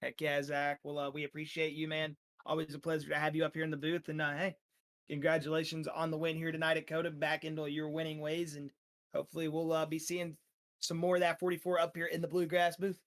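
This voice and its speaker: Brief: hoarse, high-pitched man, league broadcaster, chatty and full of fillers. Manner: energetic, rambling, drawn-out fillers. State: losing his voice. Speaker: sim racing league broadcaster. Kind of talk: post-race driver interviews.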